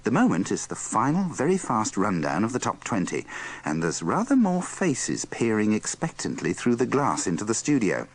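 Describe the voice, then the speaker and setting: A man's voice: on the low side, wildly swooping pitch, moderate pace, breathy edge. A British man presenting a radio bloopers compilation with dry, tongue-in-cheek scripted links. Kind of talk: wry scripted links between archive clips.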